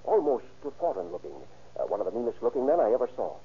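An actor playing an earnest, puzzled ice company superintendent, chatty and a little hesitant, in 1930s radio drama style. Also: male voice, medium pitch, sing-song, fast talker, slightly rough. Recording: muffled, noisy old radio recording.

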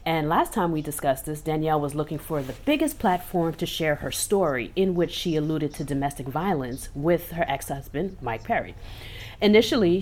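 A woman's voice: low voice, a little mellow, slight background noise. A woman co-hosting a casual podcast, telling stories in a chatty way with emphatic asides. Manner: conversational storytelling, emphatic asides. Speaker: podcast co-host.

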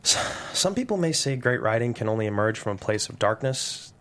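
A man playing a nerdy, well-meaning father and poet with an earnest, halting delivery in a comedy table read. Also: medium pitch, sing-song, a bit bright. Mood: awkward, earnest.

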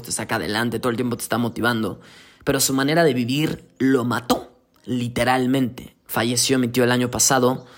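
A young man in his twenties with a Mexican Spanish accent, hosting a reflective solo podcast as a coach, earnest and motivational, with casual slang. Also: medium pitch. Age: 20s.

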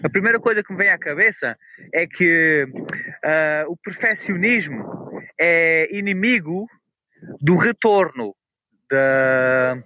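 A man with a European Portuguese accent explains his trading views patiently, pausing on "ah" fillers. His voice sits a little high and comes through a dull, dry call line. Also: lively intonation, no echo. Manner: measured, explanatory, with many "ah" fillers. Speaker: guest, trader. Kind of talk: casual interview over a call. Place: outdoors on a beach walk. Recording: muffled call audio, dry.

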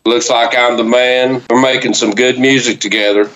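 Tennessee man, relaxed band guest on a video call, faint hiss. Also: mid-range voice, moderate pace, dull audio. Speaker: bass player guest.

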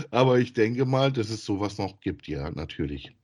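A man's voice: on the low side, sing-song, unhurried, a little mellow, breathy edge. A man co-hosting a podcast, chatting casually and with some amusement as he reviews audio dramas. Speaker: podcast co-host.

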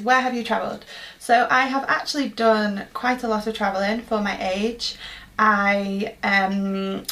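A young woman speaks at an everyday mid pitch. She talks through listener questions in a chatty, earnest way, circling back with ums and false starts as she thinks aloud. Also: fairly bright tone. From Manchester, England. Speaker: podcast host. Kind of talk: casual solo Q&A monologue.

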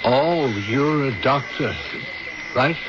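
On a muffled old radio recording thick with noise, an actor plays a worried father in middle age. He has a medium-pitched voice and speaks tersely and plainly, in the style of a classic radio drama. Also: takes it slowly, natural voice. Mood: curt, skeptical. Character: curt, worried father of an ailing daughter. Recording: dull, heavily noisy old radio recording.

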